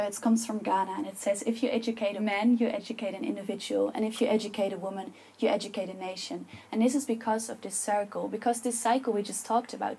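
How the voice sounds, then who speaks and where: Dutch woman giving a talk, mellow kinda husky voice, earnest, lots of ums and restarts. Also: mid-range voice. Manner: earnest, persuasive, with frequent fillers and restarts. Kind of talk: public talk to an audience.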